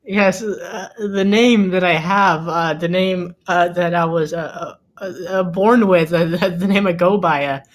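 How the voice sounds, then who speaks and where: A man acts out a nervous fellow who stalls and bluffs his way along. His speech is halting and stammering, in the plain style of a script table read. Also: high-pitched, steady tone. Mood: nervous, stalling.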